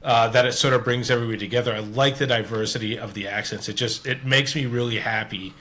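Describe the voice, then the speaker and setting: A man's voice: medium pitch, fairly even intonation, fast talker, bright, forceful tone. Earnest rambling Canadian man. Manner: rambling, restarts often, earnest. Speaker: podcast host. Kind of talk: casual interview chat.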